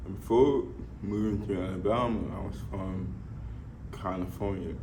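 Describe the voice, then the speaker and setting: A man in his twenties with a speech impediment, giving an earnest, halting personal testimony in short phrases. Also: low voice, fairly even intonation, background noise. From Nigeria. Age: 20s. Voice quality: speech impediment, imperfect articulation. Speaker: fitness enthusiast sharing life story.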